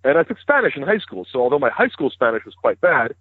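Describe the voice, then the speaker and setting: A man in his thirties whose first language is Hebrew talks quickly and matter-of-factly. His voice comes through a dry, tinny phone line. It has a husky edge. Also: mid-range voice, very animated, no echo. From United States. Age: 30s. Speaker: interview guest, news correspondent. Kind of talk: phone interview conversation.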